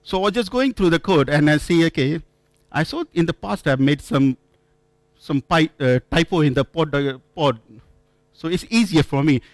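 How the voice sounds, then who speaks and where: Man giving a halting conference talk. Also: on the high side, animated, no echo. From India. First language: not English. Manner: halting, frequent restarts and self-corrections, storytelling. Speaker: conference speaker. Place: conference room.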